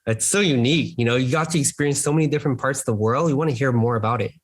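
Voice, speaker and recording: Brief: male podcast host, friendly and eager, quick enthusiastic questions. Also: mid-range voice. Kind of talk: casual interview chat.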